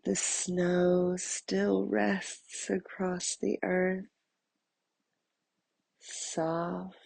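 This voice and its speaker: A woman softly guiding a meditation in a soothing, breathy, husky voice, leaving long pauses between phrases. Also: fairly low pitch, level tone, dry recording. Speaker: meditation guide. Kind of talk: guided meditation narration.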